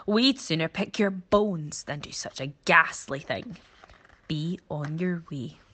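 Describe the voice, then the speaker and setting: A woman's voice: deep voice, slow, a bit bright, a little hiss or hum. A woman doing a prim disdainful vulture.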